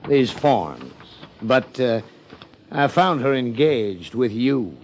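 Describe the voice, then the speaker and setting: A man's voice: mid-range voice, sing-song, slow, slight background noise. An American man playing a glib, slyly mocking small-town plant manager in 1950s radio drama, with florid, theatrical phrasing. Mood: glib, amused.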